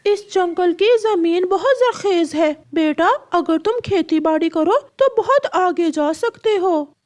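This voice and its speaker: A woman voicing an older male uncle parrot in a cartoon story, blunt, sensible and forceful with advice. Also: very high-pitched, takes it slowly, pitched above the performer's own voice. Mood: advising. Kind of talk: cartoon story character dialogue.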